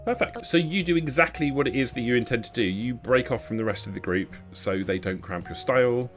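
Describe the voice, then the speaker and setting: A man's voice: mid-range voice, lively intonation, fairly quick, music in the background. A man as game master, narrating and explaining a tabletop roleplaying game in an explanatory, improvised way.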